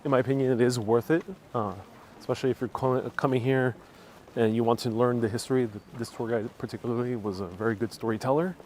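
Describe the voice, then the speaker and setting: A man's voice: mid-range voice, steady tone, quick pace, darkish tone. A man hosting a casual, conversational travel livestream outdoors, talking in a slightly hesitant, informal way. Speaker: travel vlogger, livestream host. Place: outdoors on a castle tour. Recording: faint outdoor background noise.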